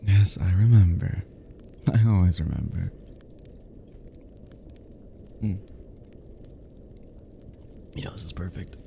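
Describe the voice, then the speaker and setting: A man playing a cozy, teasing young male friend who is sweetly smitten, in an intimate ASMR roleplay, murmuring softly and hesitantly with chuckles. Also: low voice, a little slow, creaky, a little hiss or hum. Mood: tender teasing.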